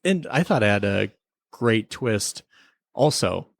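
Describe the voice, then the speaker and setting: A man's voice: lowish voice, lively intonation, slow, gravelly, no echo, studio quality. A man talking casually as a podcast co-host, giving his review of a TV episode.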